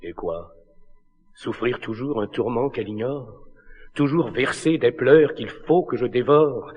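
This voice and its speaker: In a muffled recording with long hall reverb, a lovelorn, noble king of classical tragedy. He declaims solemn verse slowly and with anguish, in a rather low voice. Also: slightly rough. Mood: impassioned. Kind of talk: classical tragedy stage acting.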